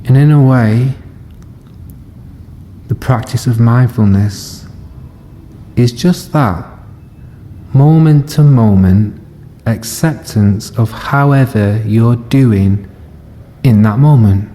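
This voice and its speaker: A man leading a guided body scan meditation as a teacher, speaking gently and unhurriedly in short phrases with long pauses. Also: mid-range voice, a little hiss or hum. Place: live session in a reverberant room. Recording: long reverb of a hall.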